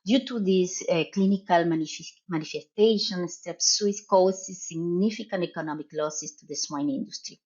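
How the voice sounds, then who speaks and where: A middle-aged woman from Argentina with a Spanish accent, a veterinary professor explaining her research in a podcast interview, measured and pausing often. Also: lowish voice, a little mellow, slightly rough, lo-fi sound. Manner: measured, explanatory, with frequent "uh" pauses.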